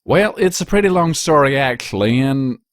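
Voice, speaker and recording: A man's voice: mid-range voice, expressive, moderate pace, no room sound, studio quality, voice pushed up, close to an everyday voice. A man voicing a blunt, plain-spoken female farm pony with a folksy drawl in a story reading. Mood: hesitant.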